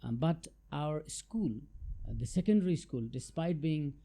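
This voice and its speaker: A man's voice: a little high, lively intonation, a little slow, creaky, warm tone. A middle-aged man from Nepal, a tax adviser answering interview questions in a thoughtful, hesitant way with many fillers. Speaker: guest, chartered tax adviser.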